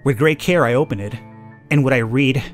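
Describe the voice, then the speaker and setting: A man's voice: medium pitch, fairly quick. A man hosting a let's play, reading game narration dramatically and adding informal commentary. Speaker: gaming YouTuber, let's play host. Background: game music.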